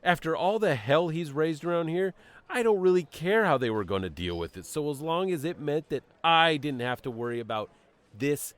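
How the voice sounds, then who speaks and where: A man's voice: fairly high pitch, lively intonation, normal speed, dry recording, lightly acted. A man acting as a stern, condescending police commissioner in an audio drama, sardonic and authoritative with formal phrasing. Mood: defensive, exasperated. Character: stern, bureaucratic male police commissioner, condescending to his former partner.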